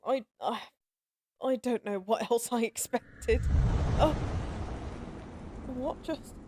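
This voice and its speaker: A woman playing a lonely, grieving goddess in an audio drama, speaking haltingly and with raw, pleading emotion. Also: on the high side, noisy background. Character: sorrowful, lonely forsaken goddess, grieving and guilt-ridden. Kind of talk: scripted audio roleplay drama acting.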